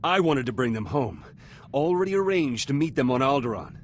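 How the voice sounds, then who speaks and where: A man's voice: medium pitch, lively intonation, fairly quick, close to an everyday voice. An actor playing a vengeful, fiercely loyal male resistance fighter in a video game, with a clipped, intense, dramatic delivery. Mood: bitter, betrayed. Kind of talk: scripted video game voice acting.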